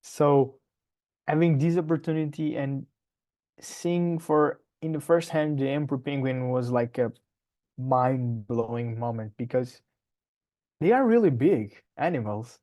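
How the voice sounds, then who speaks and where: Young male podcast guest, Portuguese accent, unhurried and halting delivery, mid-pitched voice, conversational. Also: warm tone. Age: young adult. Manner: halting, searching for words, repeats phrases. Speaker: podcast guest, polar science PhD student. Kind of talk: conversational interview answers.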